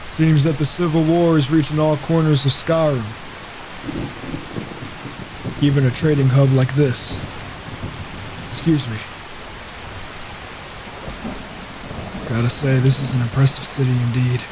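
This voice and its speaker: A man roleplaying a reclusive, self-assured mercenary warrior in a video game, talking in a measured, musing way. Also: mid-range voice, little pitch movement, natural voice. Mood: measured, concerned. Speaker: roleplaying player. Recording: hissy microphone with rain and thunder. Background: rain and thunder.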